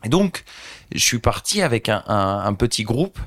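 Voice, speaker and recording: A French man in his thirties, a social entrepreneur telling an animated anecdote as an interview guest. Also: on the low side, normal speed. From France. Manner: animated anecdotal storyteller, addresses host directly. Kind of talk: casual storytelling interview.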